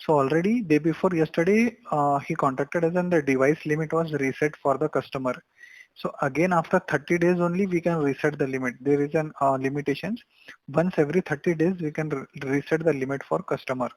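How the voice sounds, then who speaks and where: A man with an Indian accent working as a support agent, explaining account rules in a halting, repetitive way on a call. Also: on the high side, no echo, rough recording.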